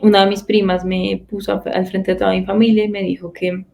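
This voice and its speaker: A woman's voice: mid-range voice, flat delivery, fairly quick, vocal fry, darkish tone. A woman in her twenties from Bogotá with a Colombian accent, earnestly telling her personal faith story with frequent fillers and restarts. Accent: Bogotá Colombian Spanish. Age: 20s. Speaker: testimony speaker.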